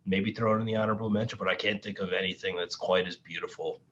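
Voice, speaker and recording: A man's voice: low-pitched, fairly even intonation, fast talker, a bit bright. A man co-hosting a podcast with blunt, jokey, profane banter. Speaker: podcast co-host. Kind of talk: casual banter. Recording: muffled, noisy lo-fi mic.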